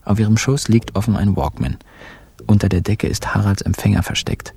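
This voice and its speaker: A wry storyteller in a radio crime play. His low voice is very raspy and gravelly, delivered laconically in short scene-setting statements. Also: fairly quick, dark tone, no echo, full character voice. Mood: wry, calm. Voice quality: gravelly, very husky. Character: wry male gang member narrating a crime tale. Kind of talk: scripted narration in radio crime drama.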